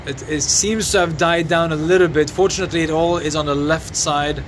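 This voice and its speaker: A man livestreaming from his phone outdoors at night, answering viewers' questions in a halting, sombre way with many restarts. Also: on the high side, steady tone. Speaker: livestreamer reporting a fire. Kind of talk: live phone stream, answering viewer comments. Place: outdoors in a city compound at night. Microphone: phone mic. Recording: noisy outdoor phone stream.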